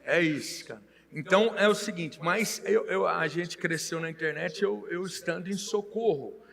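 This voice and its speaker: A man's voice: sits high, moderate pace, creaky, big-room sound. A pastor in his forties from Minas Gerais, speaking with a Minas Gerais accent, telling his story in a folksy, joking way as an interview guest. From Minas Gerais, Brazil. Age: 40s. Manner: folksy, jokey, animated storytelling.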